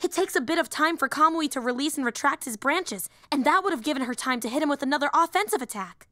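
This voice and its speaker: A woman's voice: high voice, lively intonation, quick pace, pushed and bright, no echo, studio quality. A woman voicing a young, nerdy female hero fan and teacher in an audio roleplay, eager, rambling and easily flustered. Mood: earnest, rambling.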